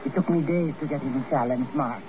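Haughty, scheming radio villainess. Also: female voice, rumbling low, brisk. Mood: cool, scornful. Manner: theatrical, haughty, formal. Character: cold, scheming foreign princess and murderess. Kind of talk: 1950s radio drama acting. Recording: noisy muffled old radio recording.

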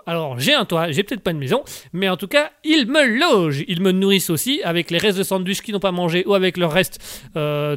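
A man's voice: high voice, a bit bright, no room sound, clean studio sound. A man voicing a pompous, downtrodden male journalist who speaks in a formal, speechifying way.

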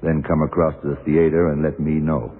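An actor playing a blunt, self-assured police detective in a 1970s radio drama, questioning deliberately and methodically. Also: male voice, rumbling low, flat delivery, narrow, tinny audio. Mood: probing, matter-of-fact. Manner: deliberate, probing, punctuated with yeah and right. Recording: noisy, band-limited old radio recording.

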